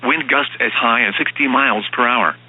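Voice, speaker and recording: A synthetic man's voice reading formal emergency weather bulletins as an automated announcer, in a flat, stilted manner, with lo-fi radio sound. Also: mid-range voice, moderate pace, husky. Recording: dull, band-limited weather radio broadcast sound.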